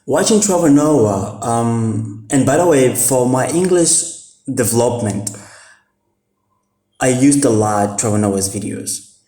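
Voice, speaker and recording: A young man from Mozambique, Portuguese-speaking by birth, chats in English about a book, pausing and restarting his phrases as he hunts for words. His voice is mellow and warm, with a middling pitch. The room around him gives the sound a clear echo. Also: animated. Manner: halting, with fillers and self-corrections. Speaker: vlogger. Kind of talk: casual spoken book recommendation.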